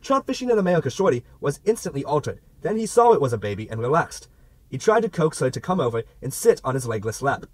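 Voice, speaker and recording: A man's voice: on the high side, very animated, fast, no room sound. A man narrating a literary novel as an amateur audiobook reader, with a theatrical delivery.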